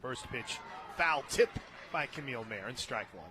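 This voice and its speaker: An American man doing live radio play-by-play of softball, chatting and joking between pitch calls from a ballpark booth. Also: expressive, normal speed. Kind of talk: live softball commentary with casual banter.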